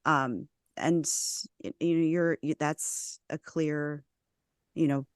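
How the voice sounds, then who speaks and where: A woman hosting a podcast, chatty and conversational, with quick asides and restarts. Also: low voice, fairly even intonation, clean tone, no echo, studio quality. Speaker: podcast host. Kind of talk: conversational interview.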